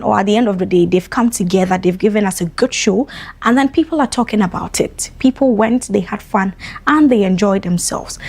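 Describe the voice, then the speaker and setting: A woman's voice: medium pitch, creaky. A woman from Ghana hosting entertainment news on a breakfast show, chatty and speaking directly to fans.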